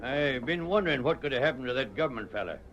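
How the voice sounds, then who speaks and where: An actor playing an excitable, loyal old frontier townsman with an exclamatory, folksy American Western voice in a radio western. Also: mid-range voice, fast. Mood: grumbling, concerned. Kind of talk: 1940s radio western acting. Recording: muffled vintage radio recording with crowd.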